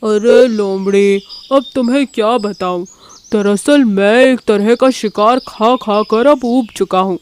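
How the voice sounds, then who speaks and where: A woman voicing a grumbling, hungry lion king in an animated moral story, with drawn-out, sulky delivery. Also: medium pitch, smooth, lightly acted.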